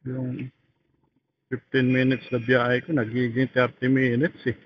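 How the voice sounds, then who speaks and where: A man's voice: medium pitch, completely flat delivery, a little slow. Filipino man rambling over a dull helmet mic. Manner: rambling, slangy, thinking aloud. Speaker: motorcycle vlogger. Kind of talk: casual motovlog chat while riding. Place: outdoors on a motorcycle in traffic. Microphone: helmet intercom microphone. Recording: dull intercom mic with road noise.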